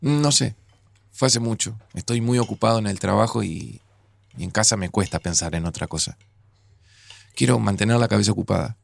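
A man's voice: fairly low pitch, moderate pace, vocal fry. A man playing a melancholy, grieving man in a naturalistic audio drama, with an Argentine accent and a hesitant, introspective way of talking. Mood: guarded, weary. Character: melancholy, grieving, dramatic man, older than his friend.

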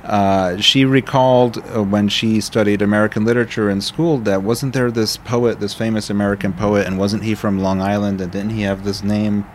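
A middle-aged man with a smooth, low voice that sounds made for radio. He talks at an even pace, telling stories and stopping for small fillers. A faint hiss sits under his voice. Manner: measured storytelling with fillers. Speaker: guest winery owner. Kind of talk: podcast interview. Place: vineyard tasting area.

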